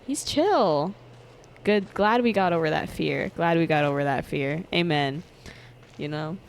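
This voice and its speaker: A young woman gaming streamer giving casual commentary from home, giggling, swearing a lot and breaking into loud startled outbursts. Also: low-pitched, unhurried, gravelly, slight background noise. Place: home room. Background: game music.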